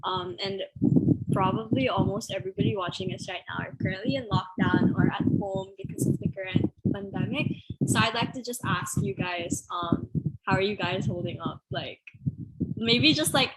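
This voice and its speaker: A woman's voice: medium pitch, very animated, normal speed, vocal fry, slight background noise, low-quality recording. A young woman hosting a casual student podcast over a video call, upbeat and chatty. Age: young adult. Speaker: podcast host. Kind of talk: casual chat. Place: bedroom, indoors. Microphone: earphone mic on a video call.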